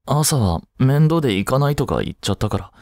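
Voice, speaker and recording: A man playing an aloof young boyfriend in an intimate voice drama, grumpy but soft-hearted, speaking haltingly close to the mic. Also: medium pitch, very animated, no echo. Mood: shy, tender. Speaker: amateur voice drama creator and actor.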